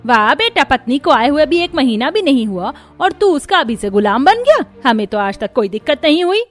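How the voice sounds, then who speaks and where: A woman voicing a domineering, fault-finding, scornful middle-aged mother-in-law in a Hindi animated family story. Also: high-pitched, brisk, faint music behind. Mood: scornful, defiant.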